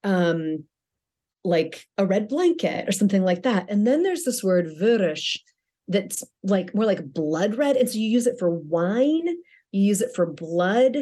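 A woman's voice: mid-range voice, lively intonation, a little slow, dark tone. A middle-aged woman author answering interview questions in a chatty way, often restarting her sentences and laughing lightly.

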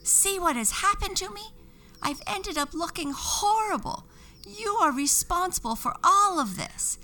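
A woman putting on a shrill, screeching angry young witch. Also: lively intonation, a little slow, pushed and bright, exaggerated voice. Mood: furious. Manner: screeching, scolding. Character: shrill furious ugly young witch girl.

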